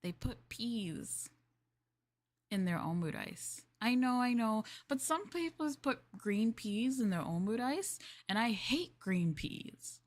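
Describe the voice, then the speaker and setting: A young woman streamer in a maid roleplay, chatting in a polite, formal way, giggling and dropping into soft asides. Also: fairly high pitch, very animated, slow pace. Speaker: VTuber streamer.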